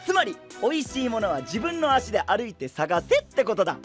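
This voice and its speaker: A man voicing a cheerful, carefree young traveler with a boisterous, naively confident manner in an animated audio drama. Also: sits high, brisk, soft background music. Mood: upbeat, carefree. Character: cheerful, food-loving, carefree young male traveling companion. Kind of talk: animated Japanese voice drama acting.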